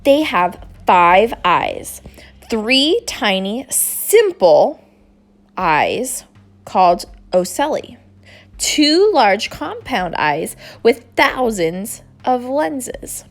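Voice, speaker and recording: Slow, animated woman reading a storybook. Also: a little high. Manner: deliberate, animated, acting out dialogue. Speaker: storybook reader. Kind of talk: expressive children's read-aloud narration.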